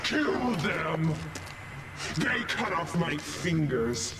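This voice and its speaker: An actor playing an anguished, vengeful ghost of a torture victim in a video game cutscene, crying out in torment. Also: male voice, fairly high pitch, big pitch swings, drawn-out words, noisy background, exaggerated voice. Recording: distant echoing game audio, music behind.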